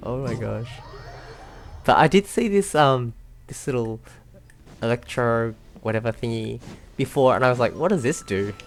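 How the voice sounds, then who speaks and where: Gamer with a creaky voice, chatting while playing. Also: medium pitch, animated, a little slow, pauses often. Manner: talks to self and viewers, exclaims, giggles often. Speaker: let's play video host. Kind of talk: casual gaming commentary, reacting while playing.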